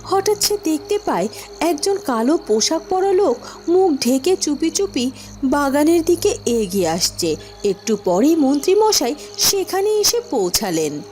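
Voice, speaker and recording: A woman's voice: high-pitched, very animated, a little slow, mellow, slight background noise. A woman narrating a scripted fairy-tale cartoon story.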